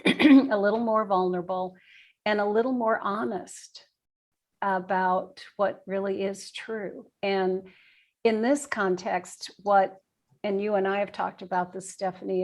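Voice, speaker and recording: A woman's voice: mid-range voice, darkish tone. A woman speaking reflectively in an interview, searching for words and repeating phrases as she goes. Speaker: guest, nursing and bioethics professor.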